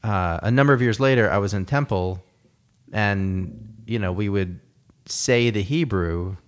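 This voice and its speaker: American guy in a casual chat, thinks out loud, restarts a lot, kinda low voice. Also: a little slow, smooth. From United States. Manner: reflective, rambling with many fillers and restarts. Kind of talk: casual conversation.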